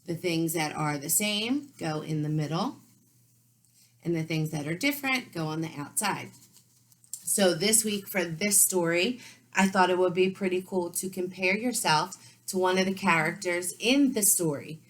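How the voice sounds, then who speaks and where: Slightly low-voiced woman teaching young children. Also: moderate pace, fairly bright tone. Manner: explanatory, informal. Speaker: second grade teacher. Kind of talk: children's read-aloud and lesson instructions.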